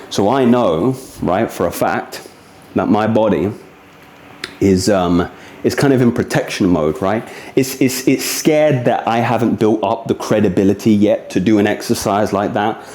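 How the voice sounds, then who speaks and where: Mellow-voiced man, echoey room. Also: lowish voice, normal speed. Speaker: fitness vlogger demonstrating rehab exercises. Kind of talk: casual exercise demonstration with candid reflection. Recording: echoey, roomy sound.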